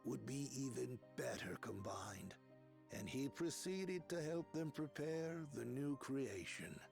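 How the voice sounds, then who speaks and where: A man's voice: mid-range voice, animated, takes it slowly, gravelly, a little mellow, faint music behind. An actor voicing a solemn male narrator who recounts a remembered legend in a game. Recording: game score playing behind.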